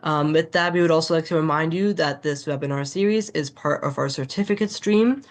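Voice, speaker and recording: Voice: mid-range voice, brisk. A webinar host reading a formal prepared introduction over a video call. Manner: reads prepared remarks, formal. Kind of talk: scripted introduction.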